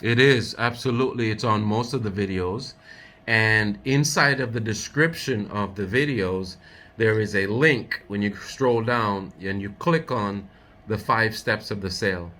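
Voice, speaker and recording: A man's voice: lowish voice, measured pace, sharp tone. A man coaching a trainee in sales, speaking emphatically and drilling his points home. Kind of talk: coaching role-play.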